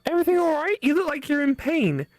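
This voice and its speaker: A woman's voice: high-pitched, very animated, fast, dry recording, faint music behind, voice pushed up. A woman voicing a young female college classmate in a visual novel, frank, suspicious and scolding. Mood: concerned.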